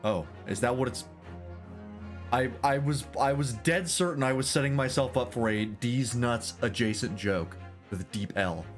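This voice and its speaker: A man's voice: mid-range voice, moderate pace, soft background music. A man streaming a game, chatting casually and rambling with self-deprecating jokes.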